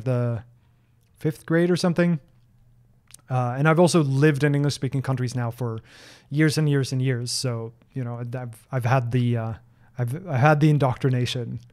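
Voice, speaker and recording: A man's voice: mid-range voice, steady tone, moderate pace, a little mellow. A man in his thirties from Norway, speaking English as a second language, casually answering viewer questions on a stream from his home office into a desk mic, with lots of ums and restarts. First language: Norwegian. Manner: chatty, many ums and restarts, reads questions aloud. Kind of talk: casual livestream Q&A. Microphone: desk broadcast mic close to face.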